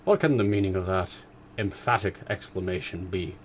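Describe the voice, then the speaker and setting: A sardonic older father who teases his family with perfect composure, as a male reader plays him. The voice sits low and level, close to the reader's own, with a dry ironic edge. It suits a storybook reading. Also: normal speed, a little hiss or hum, close to an everyday voice. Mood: dry teasing.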